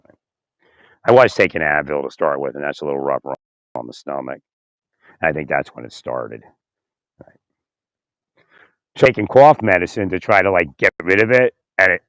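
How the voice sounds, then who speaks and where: A man with a slightly hoarse, raspy voice talking in a rambling, halting way, repeating words, chuckling often and asking "right?" as he speaks into his phone outdoors. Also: low voice, fairly bright tone, dry recording. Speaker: vlogger. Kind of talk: rambling casual monologue. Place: outdoors in winter.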